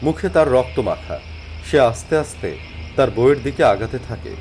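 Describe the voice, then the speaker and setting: A man narrating a scripted animated horror story in a dramatic storytelling style. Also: medium pitch, fairly quick, slight background noise.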